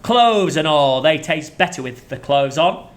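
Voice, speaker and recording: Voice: medium pitch, animated, natural voice. A reader doing a gloating enormous crocodile. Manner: slow, gloating, drawn-out delivery. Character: boastful greedy enormous male crocodile.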